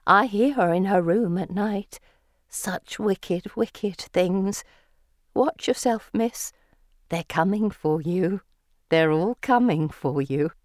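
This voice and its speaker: A woman voicing an ominous, paranoid woman giving slow, insinuating warnings in an audiobook. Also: medium pitch, dry recording, studio quality, lightly acted.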